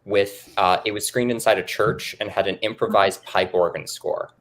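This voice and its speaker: A man's voice: on the low side, fairly even intonation, moderate pace, a bit bright. A man hosting a casual interview, asking his guests questions in a conversational way. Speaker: interview host. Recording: clear background noise.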